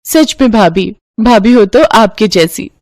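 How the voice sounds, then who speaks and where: A woman plays a naive young sister-in-law in a storybook family drama. She is excitable, earnest and eager to please, recorded dry. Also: medium pitch, a little slow, no echo, close to an everyday voice. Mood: grateful.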